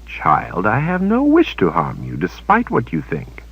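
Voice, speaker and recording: A man voicing a cold, smug witch woman who speaks in calm, measured, menacing tones. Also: low-pitched, gravelly, husky, muffled recording, full character voice.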